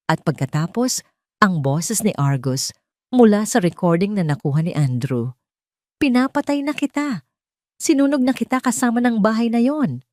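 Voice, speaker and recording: A woman's voice: low-pitched, darkish tone, dry recording, studio quality. A woman narrating a scripted romance and revenge audio drama in a dramatic storytelling style.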